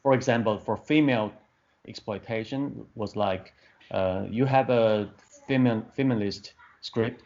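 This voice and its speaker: A man's voice: on the low side, measured pace, darkish tone. A man in his fifties from Taiwan, speaking English as an in an interview, hesitant, with many restarts and fillers as he searches for words. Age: 50s. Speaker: film director. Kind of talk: interview answers. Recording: dull lo-fi podcast audio.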